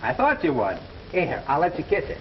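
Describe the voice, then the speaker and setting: Cocky young 1930s wisecracker, swooping pitch. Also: male voice, huge pitch swings, fairly quick. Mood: cocky teasing. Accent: American. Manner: glib, self-assured. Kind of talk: 1930s film comedy acting. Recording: muffled, noisy 1930s film soundtrack.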